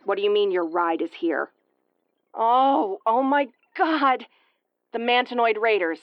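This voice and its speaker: A woman's voice: a little high, animated, measured pace, dry recording, lo-fi sound. A woman playing a tough, prickly but secretly caring tsundere starship commander in an intimate audio roleplay, brusque and prone to stammering when flustered. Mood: indignant.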